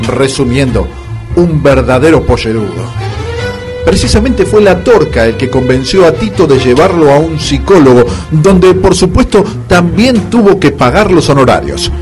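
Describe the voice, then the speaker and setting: A man with a Rioplatense Argentine accent narrates a scripted radio comedy. His voice sits at a medium pitch, with music clearly playing underneath.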